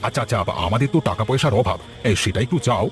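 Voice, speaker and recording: A man playing village farmers in a cartoon, speaking in an expressive, exclamatory way. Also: mid-range voice, very fast, soft background music, natural voice. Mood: curious, amazed. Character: village men: practical farmer husband, frightened neighbours. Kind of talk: cartoon voice acting.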